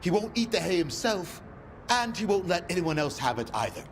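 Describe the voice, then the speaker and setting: Wry game schemer, highish and animated in pitch, measured delivery, faint noise. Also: male voice. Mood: wry, composed. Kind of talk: dramatic video game acting.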